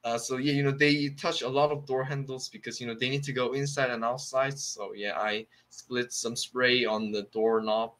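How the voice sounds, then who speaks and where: A young Korean man practising English on a video call from a small room at home. He speaks with a Korean accent, pausing often and reaching for words with plenty of fillers. His voice sits at an ordinary middle pitch. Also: steady tone, a bit bright. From South Korea. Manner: hesitant, searching for words, many fillers. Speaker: English learner. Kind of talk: casual video-call conversation.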